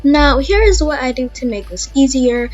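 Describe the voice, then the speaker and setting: A woman hosting a casual software tutorial, talking informally and thinking aloud as she demonstrates. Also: high voice, animated, normal speed. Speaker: tutorial host. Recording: dull lo-fi mic, background music.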